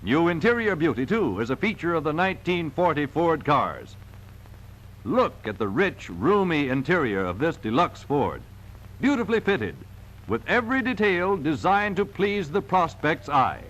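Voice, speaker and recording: Animated, high-pitched 1940s promotional film announcer, male. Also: moderate pace, poor audio. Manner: emphatic, polished salesman delivery. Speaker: narrator of a car sales training film. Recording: vintage 1940 film soundtrack.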